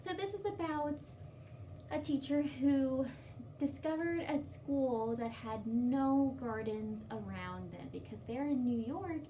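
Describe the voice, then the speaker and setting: Woman, storytime host, unhurried, mellow tone, simple instructive read-aloud for children, distant mic with faint noise. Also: high-pitched.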